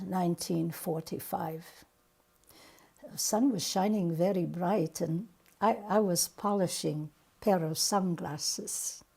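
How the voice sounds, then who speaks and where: Woman performing older Japanese woman survivor, grave, mellow, slow, Japanese accent, broken English, dramatic monologue. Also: medium pitch, breathy edge, exaggerated voice. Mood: somber, remembering. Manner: halting, broken English with dropped articles.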